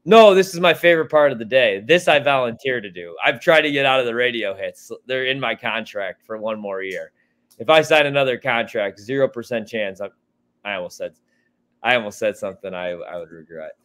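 An American man in his thirties talks sports bets from home on a video call. His voice sits at an average pitch. He rambles casually and bluntly, with loose, salty language. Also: expressive, fairly quick, fairly bright tone. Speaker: guest sports betting analyst. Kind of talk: casual sports podcast chat.

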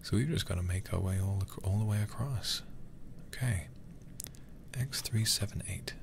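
A man livestreaming a horror game in ASMR style, murmuring softly and often whispering, close to the microphone. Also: fairly low pitch, moderate pace, vocal fry, noisy background.

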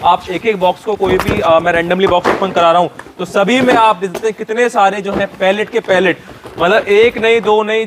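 Brief: male host with a high voice, upbeat salesy warehouse walkthrough, faint background noise. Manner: upbeat sales patter, addresses viewers directly. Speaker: YouTube host. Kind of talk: promotional warehouse tour.

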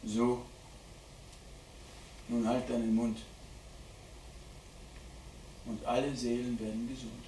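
A man giving a live dramatic poetry reading at a literary event, declaiming deliberately with strong shifts between hushed and loud delivery. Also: mid-range voice, level tone. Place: indoor literary event venue at a theatre. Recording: roomy live hall recording, distant mic, faint noise.

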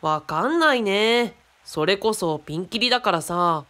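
A woman plays a friendly adult companion in a radio drama, with a medium-pitched, animated voice. The character casually explains things to a child, often thinking aloud in a conversational way. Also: unhurried. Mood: explaining.

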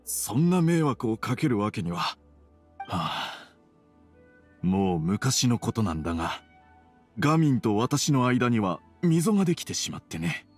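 A man's voice: medium pitch, sing-song, normal speed, a little mellow, breathy edge, soft background music. A man playing a worried middle-aged tea merchant and father in an anime game, earnest and reserved. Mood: troubled, regretful.

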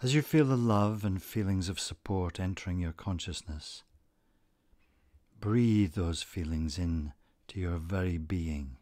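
Slow, low-voiced man guiding meditation. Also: no room sound. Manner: calm, deliberate, gently instructing. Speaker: meditation guide. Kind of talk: scripted guided meditation narration.

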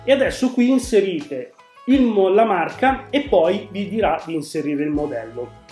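A man talks to camera as a tech host. His voice sits high for a man, and he explains things conversationally. The room is echoey and music plays underneath. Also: expressive, normal speed, darkish tone. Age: 40s. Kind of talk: casual product presentation to camera. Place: small room at home, indoors.

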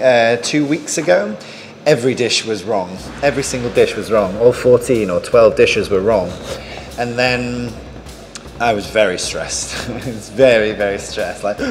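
A man in his thirties, a head chef, talking candidly in an interview and laughing at himself now and then. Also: mid-range voice. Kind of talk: reflective interview. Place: large bright restaurant dining room. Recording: reverberant room with clear background noise.

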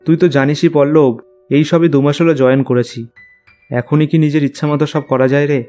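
A man's voice: a little high, steady tone, brisk, mellow, faint music behind, natural voice. A man playing a young journalist, narrating his own ghost story in a scripted cartoon style. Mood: apologetic. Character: young male journalist recounting an eerie night. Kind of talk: scripted first-person horror story narration.

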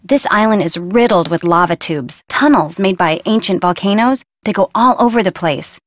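A woman's voice: mid-range voice, lively intonation, moderate pace, no room sound, studio quality, lightly acted. A woman playing a young, blunt, stubborn surfer girl in a video game, talking in casual, flippant slang. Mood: confiding, matter-of-fact.

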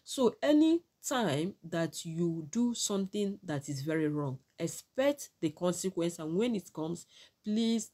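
A woman's voice: fairly low pitch, animated, measured pace, mellow. A middle-aged woman with a Nigerian accent, giving opinionated, admonishing commentary straight to her viewers. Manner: admonishing, proverb-quoting. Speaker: vlogger commentator. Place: small indoor room with curtains.

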